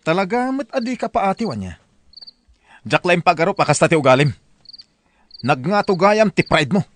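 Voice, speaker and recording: A man's voice: high-pitched, very animated, moderate pace, long pauses, a little hiss or hum. A man playing a proud, stubborn young husband with an Ilocano accent in a family radio drama, talking defiantly. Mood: scornful. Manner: defiant, scoffing.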